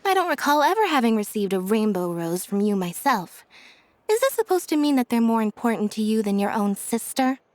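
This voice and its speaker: A woman voicing a cool, dryly teasing young sister of a magician in a video game, measured and deadpan. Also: a little high, no room sound. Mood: feigned hurt.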